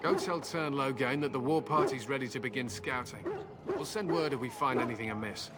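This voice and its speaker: An actor playing a brusque, impatient soldier in a video game, curt and commanding. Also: male voice, mid-range voice, a little fast, very noisy, natural voice. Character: brusque, impatient male soldier, scornful of elves. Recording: loud ambience with barking dogs behind.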